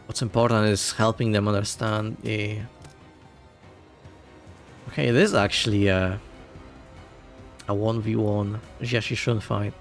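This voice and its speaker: A man streaming a game, dropping dry, offhand reactions in a low voice. His talk is casual and unpolished. Faint music runs behind him. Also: fairly even intonation, measured pace, pauses often. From Poland. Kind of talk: casual gameplay commentary.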